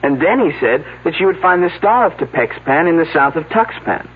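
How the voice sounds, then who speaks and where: Earnest, lively young man. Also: on the high side, brisk, breathy edge. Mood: earnest, recounting. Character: earnest, uneasy young man on an archaeological dig. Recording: narrow, slightly noisy phone-like old broadcast audio.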